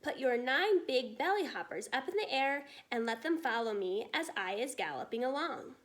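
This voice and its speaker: A gentle, homespun storybook giant played by a woman reader, high-voiced and very animated. He tangles his grammar and sprinkles his talk with made-up words. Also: normal speed. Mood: earnest. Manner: muddled grammar and invented nonsense words.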